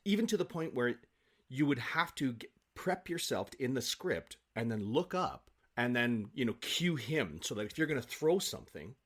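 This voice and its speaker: A man talking as an interview guest, an actor who rambles excitedly and repeats himself for emphasis in casual chat. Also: mid-range voice, moderate pace.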